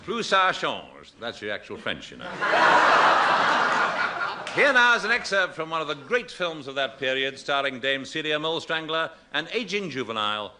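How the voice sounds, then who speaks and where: Wry male radio host, lively intonation. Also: fairly high pitch, normal speed. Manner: wry, mock-formal radio presenter. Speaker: comedy show host. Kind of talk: scripted comic introduction. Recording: noisy old radio comedy recording.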